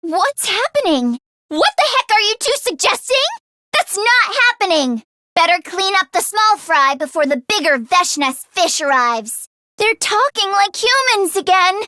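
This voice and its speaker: High-pitched, emotive teenage girl game heroine. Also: measured pace, dry recording, clean studio sound, full character voice. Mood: alarmed. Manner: expressive and emotive, with sighs and gasps. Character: earnest, excitable teenage girl fighter, caring and anxious. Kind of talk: English video game voice acting.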